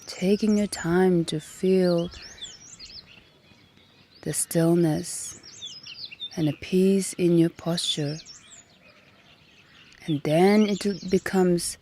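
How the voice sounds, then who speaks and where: A woman guiding a meditation in a calm, gentle manner, leaving long pauses between short phrases. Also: lowish voice, slight background noise. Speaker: meditation and yoga teacher. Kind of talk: guided meditation instruction. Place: outdoors in nature. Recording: outdoor recording with birdsong behind. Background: birdsong and natural ambience.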